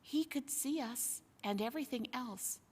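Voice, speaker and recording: A woman's voice: sits high, unhurried, a little mellow, slightly rough. A woman voicing a tender-hearted, earnest young girl telling her adventure in a storybook. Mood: earnest wonder. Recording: long hall-like reverb.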